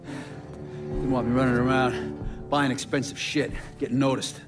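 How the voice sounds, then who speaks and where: Casting: man to play defiant, weary gangster in a game, gruff, medium-pitched, over loud music. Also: level tone, measured pace, lightly acted. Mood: bitter, defiant. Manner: gruff, grumbling, profane. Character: bitter, defiant middle-aged Italian-American mobster, world-weary.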